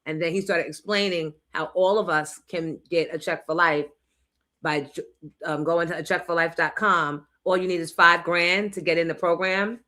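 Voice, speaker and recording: A woman hosting a live-stream talk show, lively and upbeat as she plugs a program. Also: on the low side, normal speed. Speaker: host. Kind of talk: casual live-stream chat.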